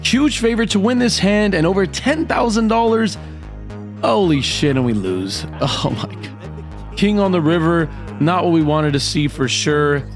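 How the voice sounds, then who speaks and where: A man narrating his poker hands in a voice-over, with hyped, dramatic play-by-play and a slightly hoarse voice from a cold. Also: high-pitched, animated, measured pace. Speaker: poker vlogger. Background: background music.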